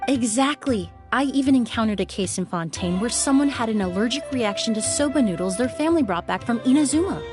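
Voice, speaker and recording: A woman voicing a polite, composed young perfumer in a video game, explaining things in a measured, courteous way. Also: on the high side, expressive, music in the background, natural voice. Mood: calm explaining.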